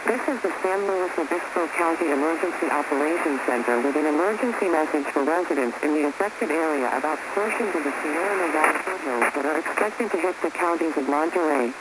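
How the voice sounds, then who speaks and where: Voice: mid-range voice, brisk, hardly any pauses. Formal announcer under heavy noise. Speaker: county emergency announcer. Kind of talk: official announcement. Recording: loud background noise.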